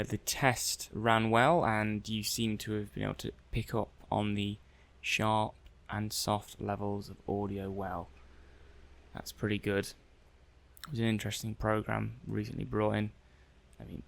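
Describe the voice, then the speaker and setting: A man's voice: fairly low pitch, creaky, fairly bright tone. A man playing a mild-mannered, puzzled robot-testing technician in an ASMR roleplay, hesitant and muttering to himself. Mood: businesslike. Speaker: roleplay performer.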